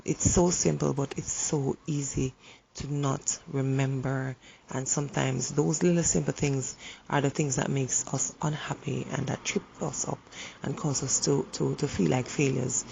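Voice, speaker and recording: A woman who is hoarse from the flu, speaking in an earnest, encouraging way about relationships and pausing often to restart her sentences. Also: a bass voice, fairly even intonation, warm tone, a little hiss or hum, low-quality recording. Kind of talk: reflective motivational monologue.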